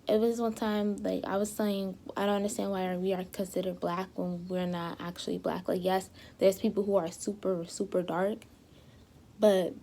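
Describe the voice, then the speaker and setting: A young woman vlogging casually to her viewers, a little hoarse as she loses her voice, rambling with lots of fillers and restarts. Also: mid-range voice, warm tone, a little hiss or hum. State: slightly hoarse, losing her voice. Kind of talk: casual talk to camera.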